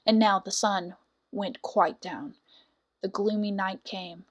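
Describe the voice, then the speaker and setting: A woman reading a scripted fairy tale as a storyteller, with dramatic, deliberate phrasing. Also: medium pitch, highs cut off. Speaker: storyteller, audiobook narrator.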